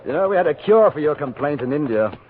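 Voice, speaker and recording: Composed British traveler, animated delivery. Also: male voice, a little high, fast, phone-quality sound, slight background noise. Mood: dryly amused. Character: composed, wry Englishman, former cavalry captain, narrating his travels. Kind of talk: 1950s radio drama narration and dialogue. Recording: band-limited vintage radio recording with music.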